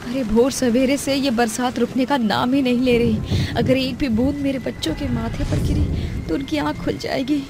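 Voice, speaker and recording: A woman's voice: high-pitched, fairly even intonation, normal speed, few pauses, background noise, voice pushed up. A woman voicing a poor, long-suffering, loving mother in a cartoon story, with a pleading manner. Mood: worried. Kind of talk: cartoon voice acting. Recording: music under the voice.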